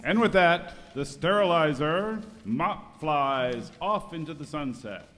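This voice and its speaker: A man narrating an amateur radio skit from a script in dramatic, drawn-out announcer fashion. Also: a little high, lively intonation, fairly bright tone. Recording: noisy reverberant hall, live recording.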